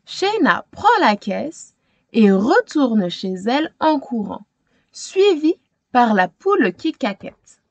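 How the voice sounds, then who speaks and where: Slow, very animated woman reading a storybook. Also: on the high side, gravelly, dry recording. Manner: careful, expressive, dramatic. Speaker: storytime reader and host. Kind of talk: scripted children's storybook narration.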